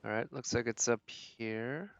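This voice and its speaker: A man's voice: mid-range voice, unhurried, no echo, muffled recording. A man giving casual, rambling gameplay commentary as a streamer, thinking aloud as he plays. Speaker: game streamer.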